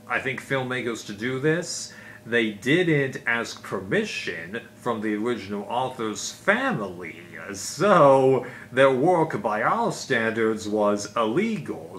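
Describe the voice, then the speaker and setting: Casting: male video host, slightly low voice, rambling casual explainer delivery, faint noise and hum on a home recording. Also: fairly bright tone. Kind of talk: casual unscripted explainer commentary.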